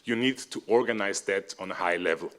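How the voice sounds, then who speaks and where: Young Austrian man, lowish pitch, friendly and halting presenter at a seminar. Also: a bit bright. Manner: hesitant, many fillers, friendly. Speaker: seminar speaker, civic educator. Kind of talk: conference presentation.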